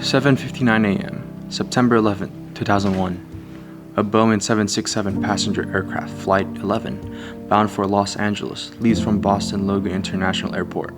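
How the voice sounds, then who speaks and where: A man narrating a documentary in a measured, scripted read. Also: fairly low pitch, fairly even intonation, over music. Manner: measured, reading dates and facts.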